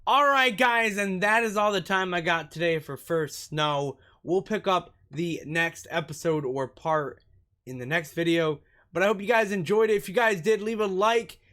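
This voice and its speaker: A man's voice: high voice, normal speed, pushed and bright. A man doing let's play narration, reading visual novel text aloud as a gaming host and sometimes stumbling over words.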